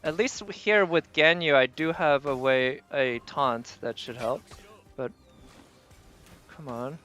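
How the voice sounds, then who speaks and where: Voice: mid-range voice, takes it slowly, a bit bright, faint music behind. A game livestreamer giving casual, off-the-cuff commentary while playing, talking to themself and swearing mildly. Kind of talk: casual live gameplay commentary.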